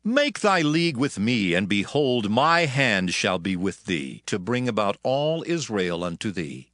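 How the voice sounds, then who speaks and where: A man's voice: lowish voice, sing-song, measured pace, fairly bright tone, no room sound, clean studio sound. A man playing a proud, wrathful ancient Hebrew army commander in a dramatized scripture reading, with declamatory archaic speech. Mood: bargaining.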